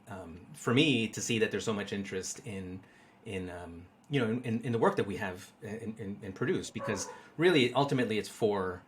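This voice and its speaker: Low-voiced middle-aged man, halting delivery. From born in Peru, raised in the United States. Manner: halting, repeats words as he searches for phrasing. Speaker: interview guest, market researcher. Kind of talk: casual conversational interview.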